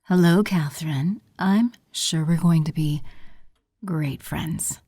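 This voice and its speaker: Woman playing a low, husky, icy villainess. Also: slow, dark tone, dry recording, studio quality, heavily acted. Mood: sly, sweet. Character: icy, seductive villainess posing as polite teacher. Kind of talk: audio drama acting.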